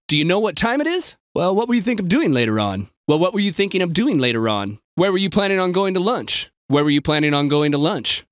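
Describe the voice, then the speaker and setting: A man voicing an excitable, snarky everyman bystander in a video game, with a whiny, exaggerated delivery. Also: sits high, dry recording, studio quality.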